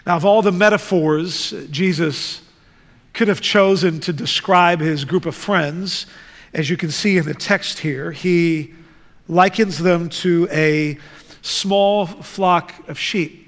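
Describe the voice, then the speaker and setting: Guy from the netherlands preaching real slow, kinda high voice, big echoey church. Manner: slow, deliberate, measured delivery. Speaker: guest preacher. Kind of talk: sermon with scripture reading. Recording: reverberant church hall.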